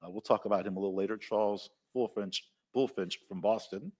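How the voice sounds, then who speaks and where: A man with a Southern American accent and a fairly low voice comments live on the scene. He talks with enthusiasm, tells anecdotes as he goes, and fills the gaps with "uh.". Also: brisk, darkish tone.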